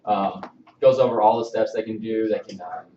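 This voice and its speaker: A man giving an informal, halting work presentation on templates as a mortgage trainer, recorded from a distance indoors. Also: on the low side, fairly even intonation, a crowd in the background. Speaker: mortgage loan officer giving staff training. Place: indoor training room with projection screen. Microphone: far camera microphone. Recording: distant, roomy, slightly dull mic.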